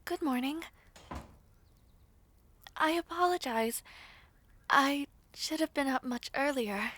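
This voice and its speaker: Girl acting shy young maid type, high soft voice, slow n hesitant, super polite, audio roleplay. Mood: bashful, hesitant. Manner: soft-spoken, hesitant, formal and apologetic. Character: shy, humble young former maid, politely flustered in new luxury.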